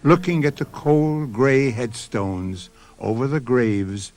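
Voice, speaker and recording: A man hosting and narrating a radio drama in a slow, dramatic way. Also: mid-range voice, a little mellow. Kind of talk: scripted narration.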